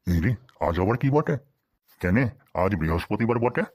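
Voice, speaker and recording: Man acting a deep-voiced sly cartoon husband, rural Bengali dialect. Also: very animated, normal speed, a little mellow. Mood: worried, grumbling. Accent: rural western Bengal Bengali dialect. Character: sly, penny-pinching henpecked husband in a comedy cartoon. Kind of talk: comic cartoon dialogue.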